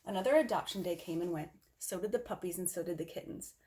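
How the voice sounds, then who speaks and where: A woman's voice: fairly low pitch, quick pace, darkish tone, slight background noise. Woman reading a children's story aloud. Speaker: storybook reader. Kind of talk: expressive children's read-aloud.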